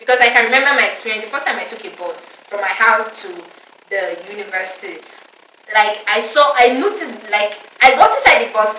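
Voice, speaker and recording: Fast-talking young Nigerian-born woman, echoey. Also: fairly high pitch, animated, pushed and bright, a little hiss or hum. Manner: chatty, laughs often, many fillers. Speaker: vlog host. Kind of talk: casual chat. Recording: echoey room, mic at a distance.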